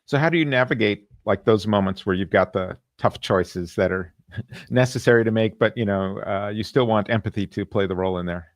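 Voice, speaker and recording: A man hosting a casual interview, thinking aloud in a halting, reflective way. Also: on the low side, dry recording, studio quality. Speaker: podcast host.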